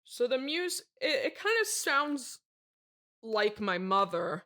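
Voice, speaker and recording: A woman playing a pompous, brooding horror novelist in a mock interview, melodramatic and condescending, pausing for effect. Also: a little high, big pitch swings, fairly bright tone, heavily acted. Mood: musing, dramatic. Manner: melodramatic, condescending, deliberate dramatic pauses. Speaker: interview guest.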